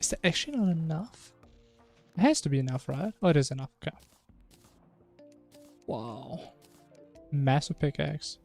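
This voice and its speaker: A man's voice: on the high side, animated, normal speed, vocal fry, long pauses, a little mellow, soft background music. A man streaming a video game, chatting casually and musing in a rambling way. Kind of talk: casual chat while gaming.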